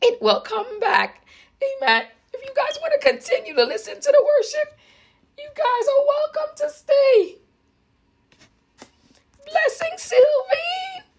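A woman's voice: very high-pitched, big pitch swings, takes it slowly, long pauses. A middle-aged woman on a home live stream, praying fervently and singing along while her voice cuts in and out, recorded on a phone held at arm's length in a small room. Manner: fervent, prayerful, swings between talk and song. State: voice cutting in and out. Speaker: worship leader on a live stream. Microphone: phone camera at arm's length. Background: worship music playing.

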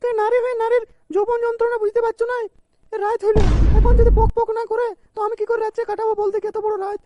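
A performer voicing a restless, complaining young village woman in an amateur comedy cartoon, with a pitched-up voice. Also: little pitch movement, fast talker, dark tone, lo-fi sound. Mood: whining. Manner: whining, crude, defiant. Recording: faint background noise.

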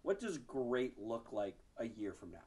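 A woman's voice: a bass voice, big pitch swings, unhurried, darkish tone, slightly rough. An off-camera woman interviewer asking casual, playful questions.

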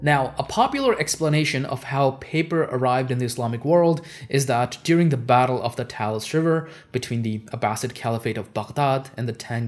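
A young man whose native language is Urdu gives scripted educational narration in an explanatory way, as host of a history channel. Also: medium pitch. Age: young adult. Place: small home office, indoors. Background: background music.

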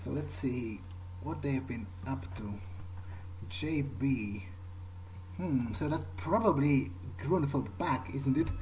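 A man playing a cocky, sardonic vampire who thinks aloud haltingly in a video game playthrough. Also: medium pitch, a little mellow, close to an everyday voice, low-quality recording. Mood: musing. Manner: musing, halting, muttered asides. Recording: clear background noise, game ambience.

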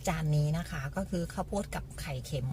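A woman's voice: fairly low pitch, a little fast, creaky, mellow, a little hiss or hum. A woman casually hosting a food vlog, talking politely and repeating her phrases as she explains. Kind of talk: casual mukbang food presentation with eating.

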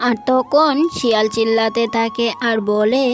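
A woman's voice: on the high side, fairly even intonation, measured pace. A woman narrating a scripted children's cartoon story. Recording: music bed behind the voice.

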